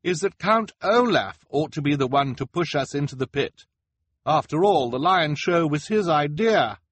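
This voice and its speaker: A man voicing an earnest, quick-witted boy in a storybook, articulate and reasoning. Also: fairly high pitch, a bit bright, no room sound, voice pushed up. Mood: earnest persuasion.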